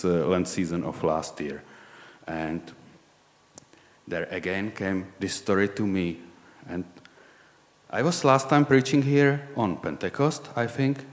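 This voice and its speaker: A man in his forties with a Czech accent, a lay preacher giving a sermon in a halting, candid way, often searching for words. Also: deep voice, big-room sound. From Czech Republic.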